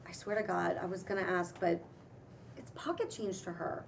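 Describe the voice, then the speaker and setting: A woman's voice: on the low side, moderate pace, creaky. A woman playing a defensive, self-pitying broke writer after a breakup, in a casual, conversational comedy-drama script read. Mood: bitter. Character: Janie, mopey, defensive broke female writer, freshly dumped. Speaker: actor at a table read. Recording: distant mic, faint room noise.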